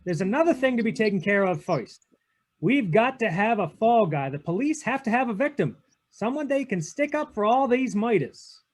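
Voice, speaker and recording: An actor playing a tough, defiant, wisecracking private detective in a 1940s radio drama, with blunt, slangy delivery. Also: male voice, high-pitched, very animated, normal speed. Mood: firm. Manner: clipped, blunt, slangy hardboiled talk. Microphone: video call.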